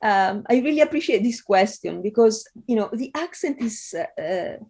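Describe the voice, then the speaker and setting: A woman with an Italian accent speaks as a guest scholar in an interview. Her voice is mellow and a little high, and her pitch moves about a lot. She talks thoughtfully, with pauses and fillers. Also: animated, husky. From Italy. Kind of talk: conversational interview answer.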